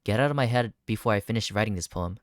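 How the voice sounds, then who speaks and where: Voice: mid-range voice, quick pace, no room sound, clean studio sound. A gaming streamer reading visual novel text aloud during a playthrough, breaking into startled reactions. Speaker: video game streamer.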